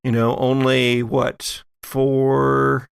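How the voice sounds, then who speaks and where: Guy running a horror rpg, slow creaky voice, describes scenes like a movie, very dry sound. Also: medium pitch, flat delivery, no echo. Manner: deliberate, cinematic scene-setting in short phrases. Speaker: game master. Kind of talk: descriptive improvised narration for audio-drama tabletop game.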